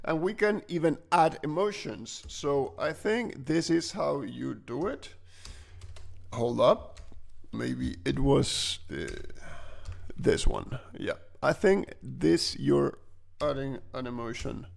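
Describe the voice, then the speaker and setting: A man presenting a casual software walkthrough, explaining informally and thinking aloud as he goes. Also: mid-range voice, lively intonation, slow pace, gravelly. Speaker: tutorial presenter.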